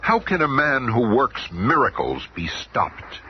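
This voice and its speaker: A man's voice: medium pitch, huge pitch swings, takes it slowly. A man playing the portentous, theatrical narrator of a 1970s superhero radio serial. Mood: portentous, suspenseful. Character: dramatic male announcer-narrator of superhero adventures. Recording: muffled old radio recording, loud noise.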